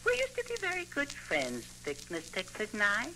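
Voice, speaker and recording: A man's voice: high voice, wildly swooping pitch, moderate pace, pushed and bright, voice pushed up, heavily acted. A man voicing a cheeky, boastful boy ventriloquist dummy in old radio comedy, cocky, sarcastic and full of mock grievance. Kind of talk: 1930s-40s radio comedy banter. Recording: hissy, crackly old radio recording.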